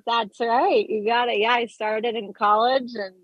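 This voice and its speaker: A woman's voice: on the high side, steady tone, unhurried, slightly rough, dry recording, dull audio. A woman from Colorado, a guest on a radio show, chatting in a bubbly way and laughing easily. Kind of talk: casual interview chat.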